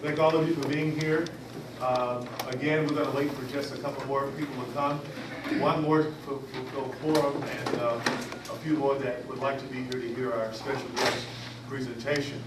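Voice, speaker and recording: A man chairs a community board meeting and reads out his report, halting often with fillers and restarts. He sounds far from the mic in an echoey room, with steady background noise. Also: medium pitch. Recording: echoey, distant, noisy room recording.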